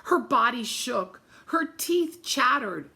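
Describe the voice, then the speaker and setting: A woman reading a children's story aloud in an expressive, dramatic way, with chatty asides spoken directly to young listeners. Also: a little high, slow, vocal fry. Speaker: story-time reader for children.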